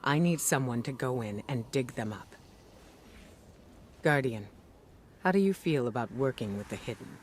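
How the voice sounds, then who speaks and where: An actor playing a composed, authoritative commander giving a briefing in a video game, grave and measured. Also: male voice, medium pitch, expressive, a little hiss or hum, close to an everyday voice. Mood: grave, pondering.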